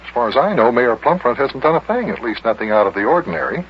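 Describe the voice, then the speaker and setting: A man's voice: medium pitch, sing-song, fast talker, lightly acted. A man acting a good-natured, patient guardian in an old-time radio children's show, folksy and full of exclamations. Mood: amused. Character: good-natured, scolding grown-up guardian of a boy. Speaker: host and lead actor of children's radio show. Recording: muffled, hissy vintage radio recording.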